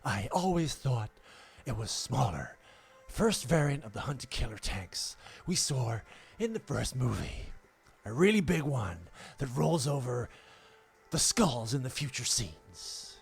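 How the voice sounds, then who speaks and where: A man's voice: mid-range voice, very animated, measured pace, vocal fry, husky, exaggerated voice. A man doing a comic impression of a drawling older American film star, reading a letter in a strained, theatrical voice. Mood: hammy, drawling.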